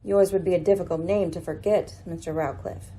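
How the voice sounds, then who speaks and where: A woman's voice: lowish voice, fairly even intonation, a little fast, dark tone, breathy edge. A woman playing a shrewd, composed lady detective in a radio mystery play, speaking with poised, formal, dry irony. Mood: dryly ironic. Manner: poised, formal, dryly pointed. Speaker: actor in an amateur radio play. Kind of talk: radio mystery drama acting.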